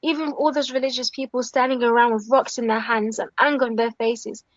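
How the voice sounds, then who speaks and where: A shy reformed outcast played by a woman in a biblical audio drama. She speaks hesitantly and earnestly in a high voice, heartfelt throughout. The sound is dry and slightly dull. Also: fairly even intonation, fairly quick, no room sound, natural voice. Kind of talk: audio play acting.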